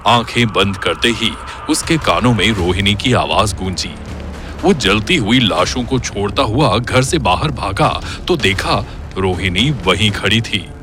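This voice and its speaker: A man narrating a scripted horror story in a dramatic, suspenseful and expressive storytelling style. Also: on the low side. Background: background music.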